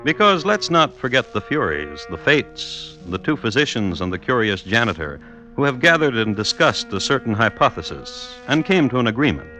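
Ironic radio narrator, forceful bright voice, very deliberate and theatrical, bit of music under him. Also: male voice, medium pitch, very animated, poor audio. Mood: wry, knowing. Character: wry, knowing male narrator of a true-crime tale. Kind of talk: wry dramatic storytelling narration of classic radio drama.

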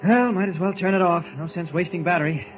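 An actor playing a calm, shrewd reporter hero in 1940s radio serial style, confident and mentor-like as he reasons. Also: sits high, fast talker, phone-quality sound, close to an everyday voice. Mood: calm, reasoning. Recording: thin, band-limited old radio recording.

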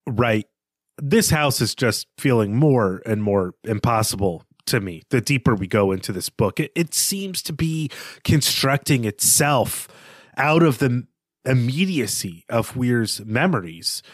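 A man speaks at an ordinary male pitch as co-host of a literary podcast. He reasons aloud in a reflective, slightly tentative way, keeping it conversational. The sound is close and free of room echo. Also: lively intonation, measured pace. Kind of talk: conversational book discussion.

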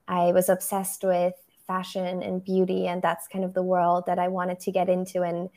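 A young photographer from Germany speaks English. She answers in a measured, reflective way, often pausing on "um" while she builds her points. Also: lowish voice, completely flat delivery, darkish tone. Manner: thoughtful, measured, frequent "um". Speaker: photographer, podcast guest. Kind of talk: interview answers.

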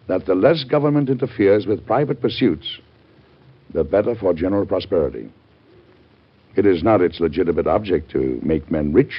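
An actor in an old-time radio drama playing a middle-aged, devout American president with a husky voice and a grave, stately, oratorical manner. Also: male voice, low voice, heavily acted. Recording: dull vintage radio drama recording.

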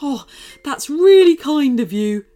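A woman's voice: high-pitched, very animated, a little slow, darkish tone, pitched above the performer's own voice. A woman voicing a nervous, fretful male shark who was once a snake, reading a children's story over faint music. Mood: relieved, chatty. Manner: fretful, nervous, then relieved and friendly. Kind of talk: storybook character voice.

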